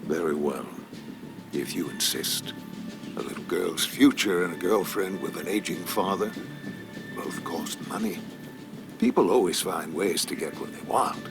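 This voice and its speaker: An actor playing a smug, menacing elderly businessman in a video game, measured and silky, with theatrical pauses. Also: deep voice, vocal fry, music in the background. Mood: coolly threatening. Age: older. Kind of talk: video game dramatic acting.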